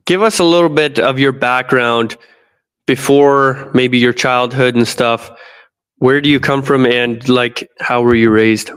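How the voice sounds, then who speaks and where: A man from North America hosting a podcast interview, asking his guest steady strings of questions. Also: medium pitch, measured pace, clear, resonant tone. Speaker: podcast host.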